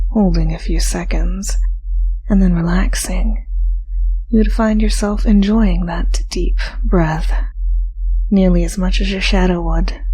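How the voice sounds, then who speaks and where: A woman reading a scripted hypnosis induction as a hypnotist, talking softly and slowly and often sliding into a breathy whisper. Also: lowish voice, slight background noise, poor audio. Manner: soft, slow, breathy whispering. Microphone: studio mic, binaural.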